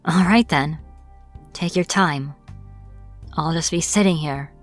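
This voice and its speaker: A woman's voice: sits low, takes it slowly, faint music behind. A woman acting as a captured witch in a fantasy audio roleplay, irritable and defiant, speaking informally with sarcastic exasperation. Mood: dismissive, sarcastic. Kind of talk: dramatic fantasy audio roleplay, addressed to the listener.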